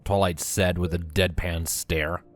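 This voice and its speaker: A man with a deep voice narrates a story from a script. Faint music runs underneath his reading. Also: fairly even intonation, a little slow.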